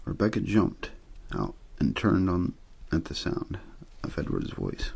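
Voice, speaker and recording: Guy doing asmr story reading, real low and super croaky, husky, not theatrical at all. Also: sing-song, measured pace, darkish tone. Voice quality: gravelly, husky. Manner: low-key, untheatrical narration. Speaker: audiobook-style story reader. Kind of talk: ASMR bedtime reading of a horror novel.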